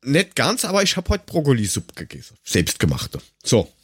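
A man with a husky, rough-edged voice chatting casually and jokily as a podcast host, full of colloquial exclamations. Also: mid-range voice, huge pitch swings, moderate pace, fairly bright tone, no room sound, studio quality. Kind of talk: casual banter, tasting snacks and drinks.

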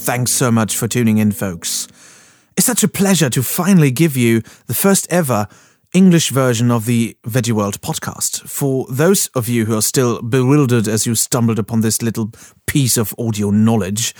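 A man's voice: mid-range voice, lively intonation, normal speed, a little mellow, no room sound, clean studio sound. A man from Germany with a German accent, hosting a podcast in casual, rambling English full of fillers and self-corrections. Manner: rambling, self-deprecating, many fillers and restarts. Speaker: podcast host. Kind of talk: casual podcast intro monologue.

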